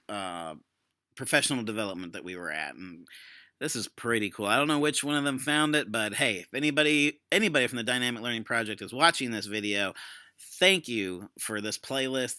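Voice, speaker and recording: Guy doing a casual tech demo for teachers, really bright crisp voice, dry sound, chatty explaining. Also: fairly low pitch, no room sound. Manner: conversational, explanatory. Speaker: educator demonstrating a software tool. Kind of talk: casual screen-share tutorial.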